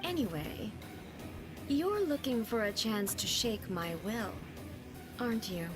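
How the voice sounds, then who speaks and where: A detached, commanding ruler of eternity speaks from a video game. Her slightly high voice stays calm, formal and measured, never hurried. Game music plays clearly behind her. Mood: cool, detached.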